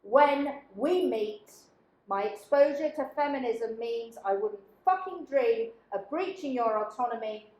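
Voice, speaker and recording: A woman giving a deliberate, dramatic spoken-word reading, with emphatic phrase openings that fall away to quieter endings. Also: fairly high pitch, animated. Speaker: dramaturge reading a participant's written piece. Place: echoey indoor workshop room. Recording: echoey room.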